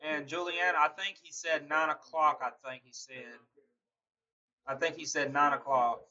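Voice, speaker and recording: A man's voice: fairly high pitch, fairly even intonation, a little slow, sharp tone, highs cut off. A man from Mississippi with a Southern accent, casually chatting with viewers on a live stream and answering them by name, laughing often. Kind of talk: casual chat reading viewer comments.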